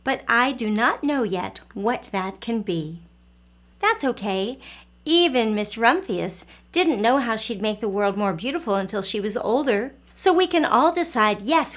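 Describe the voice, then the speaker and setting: Higher-voiced woman reading to children. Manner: expressive, asks questions directly to young listeners. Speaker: early learning teacher reading aloud. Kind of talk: children's picture book read-aloud.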